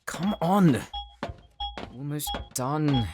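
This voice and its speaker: Excitable nervous tinkerer acted by a man, faint noise. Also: on the high side, drawn-out words. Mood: eager, distracted. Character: eager, nervous male tinkerer, easily panicked. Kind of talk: anime audio roleplay acting.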